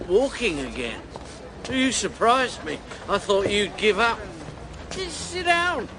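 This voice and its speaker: An actor playing a bitter, hard-drinking army major and politician in a radio drama, sardonic, self-mocking and brash. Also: male voice, sits high, very animated, slow pace, background noise, full character voice. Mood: brash, goading.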